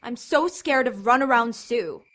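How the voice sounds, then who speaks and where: A woman's voice: a little high, a little slow, a bit bright. A woman playing a bossy, protective teenage big sister in an audio drama, snappy and intense. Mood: scornful.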